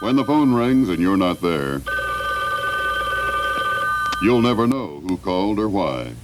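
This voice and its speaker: An extremely deep-voiced man announces a radio commercial from the 1970s. He sounds measured and earnest as he delivers the scripted pitch. The old tape has a little background noise.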